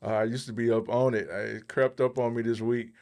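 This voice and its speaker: A man co-hosting a podcast with casual topical commentary, halting and pausing in the middle of his thoughts. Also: mid-range voice, little pitch movement, a little mellow. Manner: halting, pauses mid-thought. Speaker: podcast co-host.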